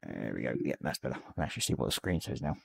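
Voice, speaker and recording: A man in his forties with a slightly croaky voice, casually chatting on a live stream and reading out viewer messages as he paints. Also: very deep voice, racing. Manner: reads chat messages aloud, relaxed and rambling. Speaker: livestream host. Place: small hobby room at home.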